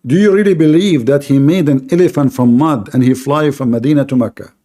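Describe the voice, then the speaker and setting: A man's voice: mid-range voice, brisk, mellow. A man hosting a live online debate, pressing his opponent with repeated questions in broken grammar over a video call. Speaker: debate host.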